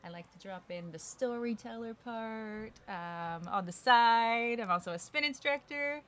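A woman's voice: on the high side, measured pace, fairly bright tone, faint music behind. A woman whose first language is Polish, chatting casually as a podcast guest in a hotel bar, with lots of fillers and easy laughter. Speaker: podcast guest, arena host. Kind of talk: casual interview chat.

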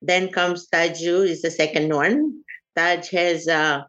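An older woman raised in Uganda, recalling family members in an interview, slow and halting with many fillers. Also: lowish voice, fairly even intonation, a bit bright. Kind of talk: family oral history interview. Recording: dull lo-fi recording.

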